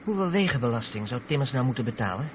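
Casting: male actor as inquisitive co-driver, animated mid-pitched voice, Netherlands Dutch accent; muffled old radio drama recording. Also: fast, close to an everyday voice. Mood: curious. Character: curious junior truck driver questioning his older mate. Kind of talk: Dutch radio play acting. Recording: muffled old radio play, vehicle noise.

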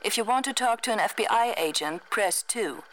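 A woman's voice: a little high, animated, moderate pace, a bit bright, poor audio. A woman playing an official recorded hotline announcer, reading phone menu options in a formal, clipped way. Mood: neutral, official.

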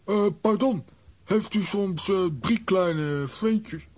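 An actor playing a bumbling, cocky comic adventurer in a children's audio drama, hesitant yet pompously formal. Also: male voice, sits high, slow pace.

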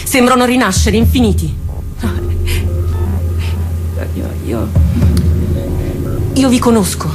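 An actress playing a weary, solemn female captain addressing her troops in a radio drama, with halting, impassioned rhetoric. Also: a little high, lively intonation, lightly acted. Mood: impassioned, troubled. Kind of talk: theatrical radio drama monologue. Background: music.

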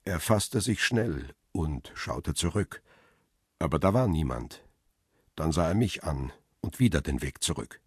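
An actor playing a photographer who tells his own tense story in a radio crime drama, measured and descriptive, with a husky voice. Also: rumbling low, lively intonation, dry recording, clean studio sound, full character voice. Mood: tense, restrained. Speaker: narrator and protagonist.

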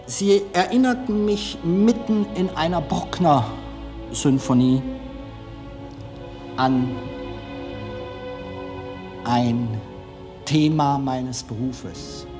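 A man speaking in a reflective personal monologue, deliberate and emphatic, with long pauses. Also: mid-range voice, over music. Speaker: film director.

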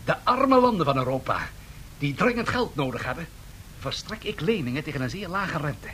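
An actor playing a confident, ambitious industrialist in a radio drama, speaking in a measured, persuasive and formal way. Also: male voice, very animated, a bit bright. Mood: expansive, ambitious. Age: 30s. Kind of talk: radio play acting. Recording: faint noise and rumble, 1970s radio.

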